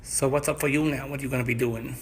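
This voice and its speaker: A man's voice: mid-range voice, fairly even intonation, fast, pitched above the performer's own voice, lightly acted. Man doing a sassy, raised-voice noir dame. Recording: noisy homemade phone clips.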